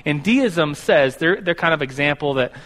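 A man's voice: a little high, animated, normal speed, a bit bright. A man in his thirties teaching a class about God in a loose, rambling way, correcting himself as he goes, in a classroom with a board. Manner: rambling, self-correcting, stumbles over words. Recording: long hall reverb.

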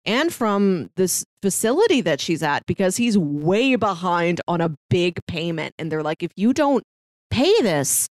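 A woman's voice: fairly low pitch, big pitch swings, a little slow, no room sound. Woman podcast co-host in dry close sound. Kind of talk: casual discussion of an audio drama.

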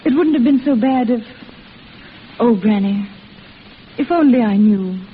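Excitable high-voiced young woman, tinny old radio. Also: a little slow, a little hiss or hum. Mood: earnest, yearning. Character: Kitty, eager lovestruck young woman. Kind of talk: 1940s radio acting.

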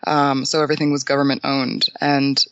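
A man, answering interview questions in a thoughtful, hesitant way with many pauses and restarts. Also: medium pitch, monotone, a bit bright, no room sound, highs cut off. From Bulgaria. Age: 20s. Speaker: guest.

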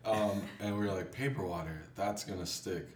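A man chatting casually as a music producer guest, telling stories in a loose, halting way. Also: sits low. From born in Haiti. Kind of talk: casual chat. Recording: roomy, distant mic with faint background noise.

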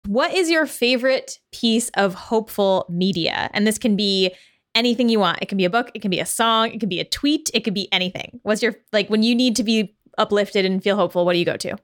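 A woman's voice: medium pitch, a little fast. A woman asking questions in a casual, conversational interview style.

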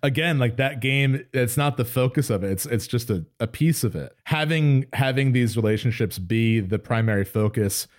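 A man's voice: medium pitch, moderate pace, few pauses. A man co-hosting a podcast, discussing video games in a conversational, enthusiastic way. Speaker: podcast co-host. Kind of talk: casual video game discussion.

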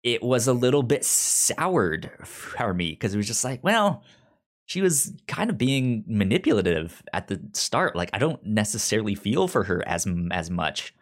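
Halting low-voiced man reviewing a film. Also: big pitch swings. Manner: halting, many restarts and stammered syllables. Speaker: podcast co-host. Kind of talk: casual film review chat. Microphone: remote video call.

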